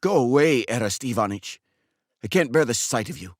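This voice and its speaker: A man's voice: mid-range voice, sing-song, no room sound, studio quality, pitched above the performer's own voice. A man voicing a timid young male barber who is heartbroken, stammering and pleading in a raised voice. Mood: despairing. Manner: pleading, stammering, quick to despair.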